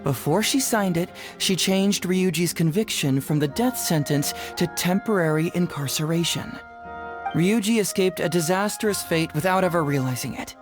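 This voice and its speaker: A man's voice: high-pitched, normal speed, lightly acted. Man playing a breezy young detective over game music. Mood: explaining, confident. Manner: smooth, glib, explanatory, wry. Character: confident, clever young male detective, breezy and teasing. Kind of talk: English video game voice acting. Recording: studio voice under game music. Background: game background music.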